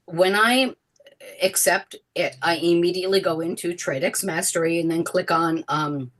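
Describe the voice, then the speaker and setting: A woman with a raspy voice from being sick, chatting casually and self-deprecatingly as a guest. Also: on the low side, normal speed. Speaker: guest participant. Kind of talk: casual conversation.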